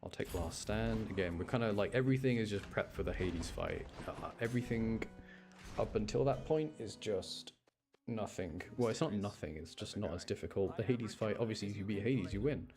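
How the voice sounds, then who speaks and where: A man's voice: fairly low pitch, moderate pace, darkish tone, faint music behind. A man giving casual gameplay commentary, lightly grumbling and self-deprecating as he explains what he is doing. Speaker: gamer playing and commenting, channel host. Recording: noticeable background noise.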